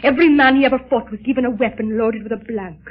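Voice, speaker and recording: A woman's voice: on the high side, fast, lightly acted. An actress playing a proud, scheming lady, speaking formally and dramatically in a 1930s radio serial. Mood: composed, scheming. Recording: muffled narrow-band 1930s radio recording.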